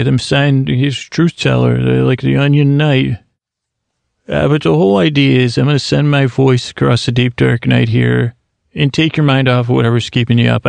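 A man with a heavily gravelly, fry-laden voice rambles through a bedtime monologue. He stammers, breaks off and wanders into digressions, keeping his pitch fairly flat. The delivery is meant to send listeners off to sleep. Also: no room sound. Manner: meandering, halting, stammering, digressive, mumbly self-interruptions. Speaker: podcast host.

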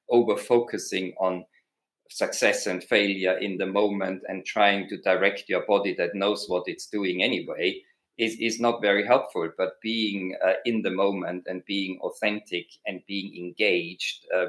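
A middle-aged man with an Austrian accent speaks in a lowish voice. He talks as a podcast guest, thoughtful and passionate, with plenty of ums and uhs as he builds his ideas. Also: fairly bright tone. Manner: passionate, reflective, with frequent fillers. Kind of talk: conversational interview.

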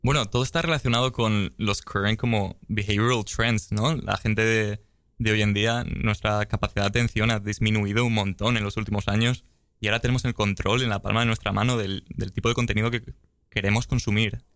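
A man's voice: lowish voice, fairly even intonation, fairly quick, fairly bright tone, no room sound, clean studio sound. A young man whose first language is Spanish, chatting casually as a guest and switching between English and Spanish. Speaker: app founder guest. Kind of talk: bilingual casual chat.

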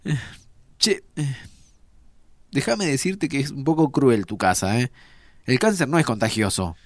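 An actor playing a sympathetic, curious man visiting his friend in an audio drama, with a Rioplatense accent and an informal, expressive delivery. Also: medium pitch, unhurried, no echo, studio quality. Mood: curious, amazed. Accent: Rioplatense Argentine. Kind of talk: naturalistic audio drama dialogue.

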